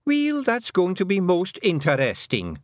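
A male narrator voicing a young fairy daughter in a storybook, pitched far above his own voice. She is sulky and sharp-tongued. The sound is dry and close. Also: wildly swooping pitch, a little fast, no echo. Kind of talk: audiobook character voice.

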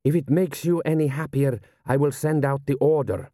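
A man's voice: on the high side, normal speed, dark tone, no echo, clean studio sound, pitched above the performer's own voice, close to an everyday voice. A man voicing a careful, deferential general and royal adviser with a diplomatic, courteous manner.

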